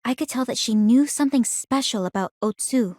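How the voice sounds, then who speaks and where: Woman voicing a composed, analytical young game heroine, higher-pitched. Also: darkish tone, no room sound, clean studio sound, natural voice. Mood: composed, confident. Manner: measured, formal, articulate. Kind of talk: scripted video game character dialogue.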